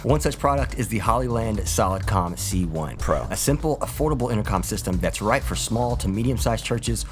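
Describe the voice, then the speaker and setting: A man's voice: on the low side, animated, fairly quick, hardly any pauses, a little hiss or hum. A man in his thirties hosting a scripted, upbeat product review from a small studio. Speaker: video host, product reviewer. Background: background music.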